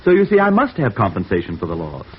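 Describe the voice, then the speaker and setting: A man's voice: fairly low pitch, huge pitch swings, fast talker. A man playing a fussy, flustered fashion designer in 1950s radio drama, theatrical and gushing, repeating himself. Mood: frantic, pleading. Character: fussy, melodramatic, frantic male fashion designer. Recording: muffled, hissy old radio recording.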